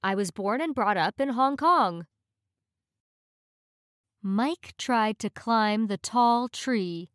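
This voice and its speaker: A woman reads short practice phrases one at a time in a clear, measured voice. She speaks very slowly and sits a bit high in pitch. The recording is dry and close, with no room sound. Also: no echo. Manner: clear, measured, each phrase read twice. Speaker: English phrase reader. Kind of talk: slow, careful reading of practice phrases.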